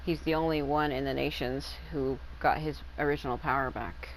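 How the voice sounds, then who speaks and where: A woman's voice: a bass voice, fairly even intonation, normal speed. A woman giving casual, blunt and sweary commentary as she plays a video game. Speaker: gamer narrating her playthrough. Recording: clearly noisy background.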